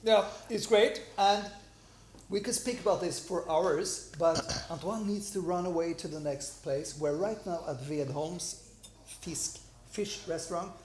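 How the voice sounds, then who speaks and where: A man hosting a champagne interview over lunch in a restaurant, relaxed and agreeable, with many "yeah"s. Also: sits high, a little slow, a little hiss or hum. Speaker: host, champagne club organizer. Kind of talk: casual interview chat.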